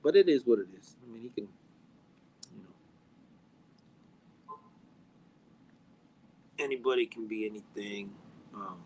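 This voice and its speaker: A man, chatting casually on a podcast, halting with fillers and restarts and mixing in Spanish slang. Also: fairly low pitch, dark tone, a little hiss or hum, rough recording. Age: 40s. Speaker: podcast guest.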